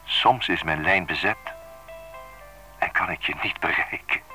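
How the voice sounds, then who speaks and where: An actor playing a possessive, teasing lover who speaks in measured, intimate phrases in a radio drama. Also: male voice, low voice, pushed and bright, faint music behind, exaggerated voice. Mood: teasing, controlling. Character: possessive, teasing adult male lover on an answering machine.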